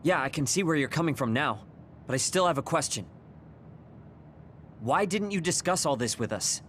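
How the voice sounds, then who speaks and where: Prickly young anime game teammate, highish voice, lots of swing. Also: male voice, lively intonation, moderate pace, a bit bright, a little hiss or hum. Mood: earnest, firm. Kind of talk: anime video game dub acting.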